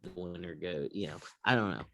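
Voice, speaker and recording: A man's voice: deep voice, a little fast, a bit bright, no echo, studio quality. A man co-hosting casual sports talk, giving blunt opinions in an informal, rambling way. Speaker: sports show co-host.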